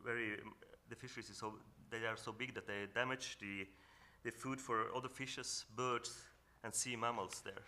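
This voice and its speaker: A man from northern Norway with a Norwegian accent gives an earnest, reflective talk on a panel, often pausing and restarting as he searches for English words. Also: medium pitch, steady tone, pushed and bright. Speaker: panel speaker. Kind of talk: reflective presentation at a symposium.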